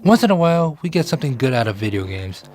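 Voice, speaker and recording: A man's voice: mid-range voice, big pitch swings, fast talker, a little mellow, a little hiss or hum. A man hosting a video essay, delivering scripted narration.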